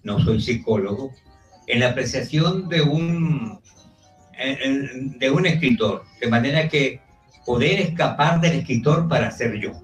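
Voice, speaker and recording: A man speaking formally and haltingly as he puts remarks and questions to an author at a book presentation. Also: mid-range voice, lively intonation. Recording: roomy, distant mic, faint music.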